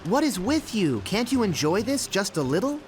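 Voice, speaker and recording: An actor playing an eager, nervous young bee best friend in a cartoon game, earnest and prone to stammering. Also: male voice, sits high, sing-song, normal speed, soft background music. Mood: exasperated, earnest. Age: young adult.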